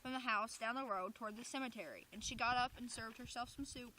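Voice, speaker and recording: A woman's voice: fairly high pitch, quick pace, bright, forceful tone, a little hiss or hum, low-quality recording. A woman reading stories aloud in an informal way, slipping into chatty asides.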